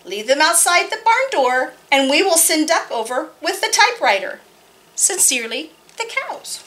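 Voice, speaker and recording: A woman's voice: high-pitched, sing-song, moderate pace, sharp tone, exaggerated voice. Polite letter-writing cows, as a woman reads them out.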